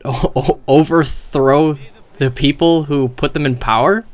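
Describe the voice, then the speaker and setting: A man's voice: on the high side, fairly even intonation, unhurried. Casual, slangy man riffing on game cutscenes. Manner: slangy, swearing, incredulous questioning. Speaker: streamer. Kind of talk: casual reaction commentary on video game cutscenes.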